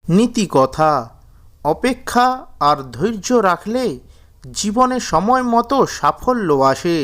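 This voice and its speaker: A woman's voice: medium pitch, animated, slow pace. A woman narrator reading a scripted moral story for children.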